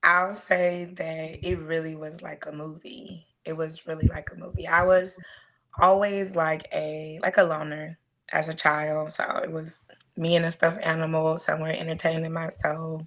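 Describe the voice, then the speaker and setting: A woman's voice: low-pitched, flat delivery, moderate pace, a bit bright, low-quality recording. A woman from Houston, telling her personal story casually and reflectively as an interview guest. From South Side of Houston, United States.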